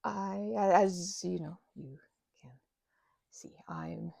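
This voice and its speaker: A woman playing a timid, gentle vampire in an audio roleplay, stammering and hesitant in a soft, breathy voice. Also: on the low side, takes it slowly, no echo, studio quality, full character voice. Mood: shy, anxious. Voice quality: soft, breathy, sometimes dropping to a whisper. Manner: nervous stammering, hesitant, apologetic, breaking into nervous giggles. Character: timid, grateful, gentle female vampire, shy and friendly. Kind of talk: audio roleplay acting, intimate one-sided conversation.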